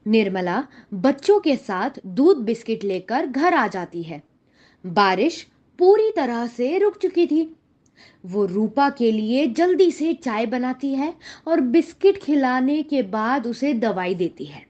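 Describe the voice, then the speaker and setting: A woman's voice: on the high side, sing-song, slow pace, a little mellow. A woman narrating a scripted children's story in a slightly dull, lo-fi recording.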